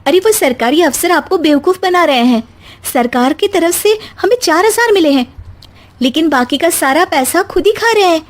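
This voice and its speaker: Woman voicing a high, emphatic young wife. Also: lively intonation, normal speed. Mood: alarmed. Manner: emphatic, protesting. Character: young educated city daughter-in-law, outspoken and sensible. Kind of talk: animated Hindi story dialogue.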